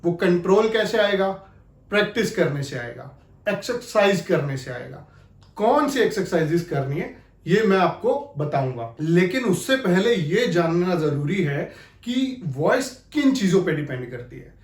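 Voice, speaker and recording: A man's voice: high voice, big pitch swings, measured pace, roomy sound. A man giving an instructional talk as an acting coach, explaining emphatically. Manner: explanatory, emphatic, repeats key phrases to demonstrate.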